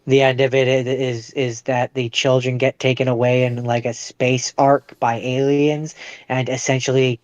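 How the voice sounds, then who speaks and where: A man's voice: mid-range voice, no echo, muffled recording. A man co-hosting a podcast, talking casually about films. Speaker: podcast co-host. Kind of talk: casual chat reviewing movies.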